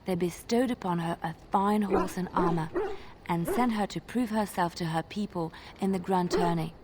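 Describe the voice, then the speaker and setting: An actress playing a gentle young woman bard in a video game, telling tales in a measured, expressive way. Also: lowish voice, background noise, close to an everyday voice. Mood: earnest storytelling. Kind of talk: video game dialogue, fairy-tale storytelling.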